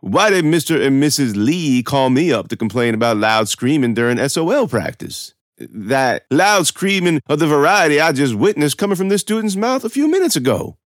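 A man performs a domineering male official in a storybook reading. The delivery is pompous and irritable, halting over words, with pitch pushed high and swinging widely in a dry, close sound. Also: very animated, no echo. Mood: pompous, accusing. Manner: pompous, barking, halting with uhs and grunts.

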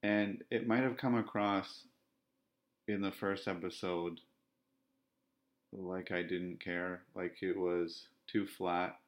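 A man from Ontario speaking in a podcast monologue, with a self-described monotone, flat delivery and measured, repetitive phrasing. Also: deep voice. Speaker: podcast host.

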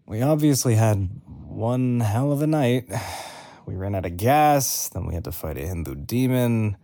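A man's voice: on the low side, animated, a little slow, warm tone. An actor playing a weary, wry young man who confides his story in a hesitant, conversational audio drama narration.

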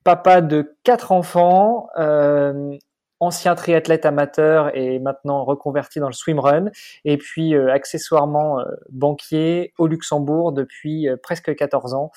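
A man in his forties, a guest on an interview podcast, talking in a measured way with many hesitations. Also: on the high side, steady tone, dark tone. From France. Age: 40s. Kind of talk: casual interview chat.

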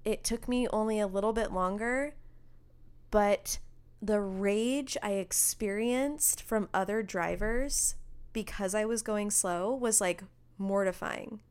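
A young woman co-hosting a casual talk show, chatty and quick as she tells her own stories, laughing easily. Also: medium pitch, a little mellow, smooth. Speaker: podcast co-host. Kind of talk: casual chat.

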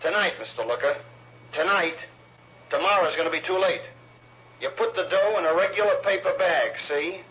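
An actor playing a tough, menacing kidnapper in an old radio crime drama, heard as a deliberate, threatening taped message. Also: male voice, sits high. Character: menacing, slangy male kidnapper giving ransom orders. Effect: played back through a tape machine. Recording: muffled, noisy taped message on vintage radio.

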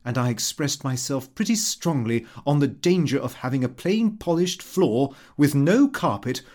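Animated man reading a comic diary. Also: mid-range voice, normal speed. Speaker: audiobook reader. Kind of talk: expressive scripted narration of a comic diary.

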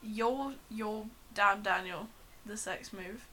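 Bright, rambling young woman. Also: medium pitch, slow pace, slight background noise. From London. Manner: playful, rambling, self-deprecating. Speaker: podcast co-host. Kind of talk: casual chat.

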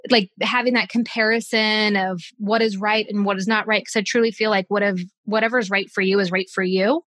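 A woman's voice: medium pitch, steady tone, a little fast, a bit bright, dry recording. A woman in her forties chatting casually as a podcast co-host, thinking aloud with many "like"s. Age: 40s. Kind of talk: casual chat.

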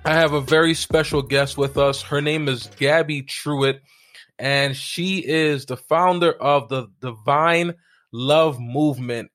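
A man's voice: a little high, steady tone, takes it slowly, fairly bright tone, faint music behind. A man hosting a podcast, opening upbeat and encouraging his guest with short replies. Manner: upbeat, encouraging, brief backchannels. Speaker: podcast host. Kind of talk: podcast interview.

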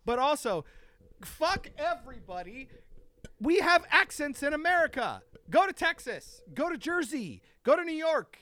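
Profane ranting man, high lively voice. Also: measured pace. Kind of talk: casual ranting chat.

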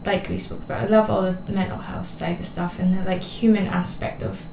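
A young adult woman from Scotland with a Scottish accent that sometimes slips toward English, co-hosting a casual chat in a chatty manner with quick asides. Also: on the low side, fairly even intonation, vocal fry, warm tone. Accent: Scottish, at times English. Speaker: co-host. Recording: echoey room with faint noise.

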